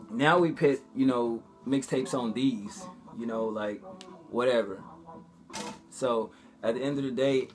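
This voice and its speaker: Young adult male vlogger, medium pitch, informal and slangy, swears freely, casual conversational delivery. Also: darkish tone. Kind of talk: casual vlog chat.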